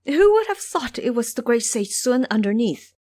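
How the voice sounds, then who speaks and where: A woman performs a timid minor deity from a mythic audio drama. He is humble and flustered, pleading in a raised, very lively pitch. The sound is dry and close. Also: normal speed, no room sound. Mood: bewildered, fearful. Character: timid, humble minor male earth god, easily frightened.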